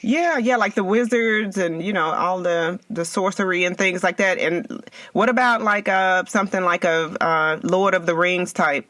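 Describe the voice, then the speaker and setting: High, bright-voiced man hosting a podcast. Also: normal speed. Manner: energetic, chatty, rambling questions with restarts. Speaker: podcast host. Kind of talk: upbeat podcast interviewing.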